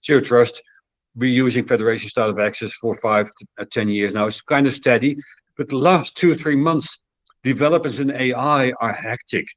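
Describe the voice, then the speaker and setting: Mid-pitched man, earnest panel explainer, repeats words while thinking, dry sound. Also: a little fast, no room sound. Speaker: conference speaker.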